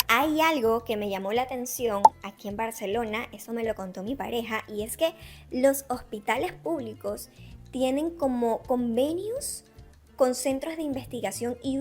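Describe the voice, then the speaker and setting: An Ecuadorian woman from Ecuador chatting casually to camera, explaining with examples and speaking straight to the viewer. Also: a little high. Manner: chatty, gives examples, addresses the viewer directly. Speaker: interviewee sharing her experience. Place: Barcelona.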